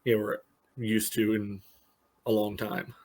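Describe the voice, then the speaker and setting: A man with a fairly low voice and a very flat delivery gives casual soccer analysis as a podcast co-host. His talk is conversational and peppered with filler phrases. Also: measured pace. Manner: peppers talk with "you know".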